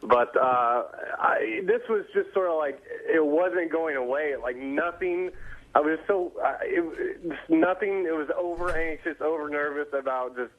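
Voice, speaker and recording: A man on a radio talk show, nervous and stammering as he explains himself in halting bits. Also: a little high. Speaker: show staffer. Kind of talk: casual radio chat. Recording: tinny phone-like line.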